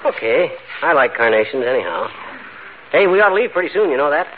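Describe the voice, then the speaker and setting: An actor playing a cocky, folksy wisecracking husband with an American accent in a 1940s radio comedy. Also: male voice, fairly high pitch, sing-song, fast talker. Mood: chatty, eager. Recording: tinny, noisy old radio broadcast.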